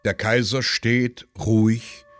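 A man narrating a scripted radio play in a deliberate, measured manner. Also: mid-range voice, flat delivery, soft background music.

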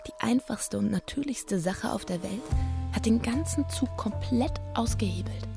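An actress playing a sad, disbelieving woman who recalls a downfall in a measured, reflective way in a German radio crime drama. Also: fairly high pitch, creaky, music in the background.

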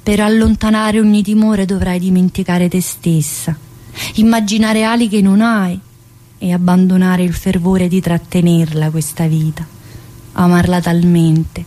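A woman's voice: on the low side, little pitch movement, a little slow, warm tone, lightly acted. A woman performing a theatrical monologue as a chatty, bossy, needy prisoner, with a colloquial, teasing manner. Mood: tender, wistful. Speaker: actor in a solo stage monologue.

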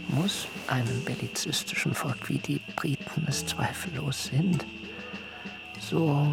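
An actor playing an idealistic German planter in the colonial South Seas, speaking earnest, formal, ornate German in a radio drama. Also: male voice, fairly high pitch, creaky. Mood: anxious brooding. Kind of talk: radio drama acting, first-person storytelling. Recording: music under the voice.

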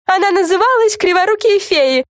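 Squeaky, vain little fairy. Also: female voice, expressive, normal speed, no room sound, clean studio sound. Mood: boastful. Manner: preening, self-important. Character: tiny vain boastful female fairy, self-admiring showoff. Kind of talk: children's storybook character voice.

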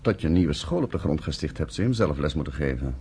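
Very low male voice, husky and dark, solemn village headman, earnest, deliberate, radio drama. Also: lively intonation, exaggerated voice. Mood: firm, persuading.

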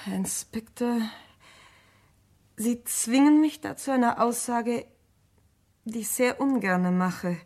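An actress playing a composed, precise secretary in a German radio crime play, speaking formally and carefully. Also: female voice, a little high, takes it slowly, pauses often, a little mellow. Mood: reluctant, formal. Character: composed, precise female secretary answering police.

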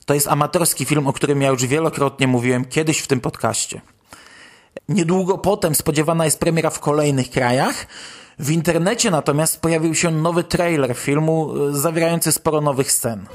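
Higher-voiced man hosting a podcast. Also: a little fast. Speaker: podcast host. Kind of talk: news roundup podcast narration.

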